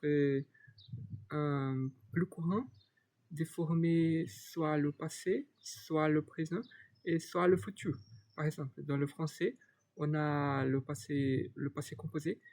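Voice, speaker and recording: A man's voice: fairly high pitch, a little mellow. A young Brazilian man with a Brazilian Portuguese accent, whose first language is Portuguese, teaches a language lesson off the cuff with many hesitations and restarts. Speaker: Portuguese tutor.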